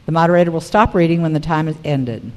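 A woman's voice: sits low, fast talker, darkish tone. A woman moderating a public forum, reading prepared material aloud to the room. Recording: reverberant hall recording.

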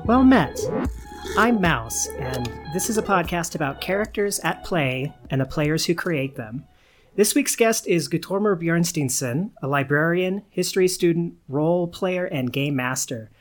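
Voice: mid-range voice, a little slow, soft background music. Casting: upbeat podcast host, mid voice, friendly interviewer. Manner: lively, playful greetings. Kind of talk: podcast hosting.

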